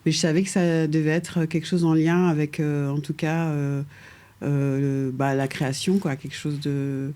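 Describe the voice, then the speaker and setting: A middle-aged woman who grew up in Paris, talking as a guest in a relaxed interview, often pausing on fillers and restarting her sentences. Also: low voice, clean tone. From France, raised in Paris from age two. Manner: hesitant, many fillers and restarts, laughs lightly. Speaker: guest architect. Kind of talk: casual conversational interview.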